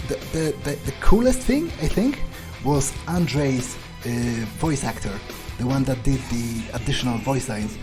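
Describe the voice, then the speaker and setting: A man speaking English as a second language talks through his thoughts on a game in a loose, halting way, often stopping to restart a phrase. His voice sits at an ordinary middle pitch for a man. Clear guitar music plays underneath him the whole time. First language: not English. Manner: halting, rambling, frequent restarts. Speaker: gamer, channel host. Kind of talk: casual out-of-character recap.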